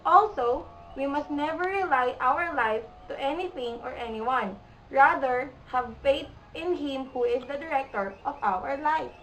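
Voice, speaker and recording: A woman's voice: sits high, measured pace, a bit bright, a little hiss or hum. A woman playing an eager, devout schoolgirl reciting in an amateur puppet show. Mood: earnest. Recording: echoey, distant, music behind.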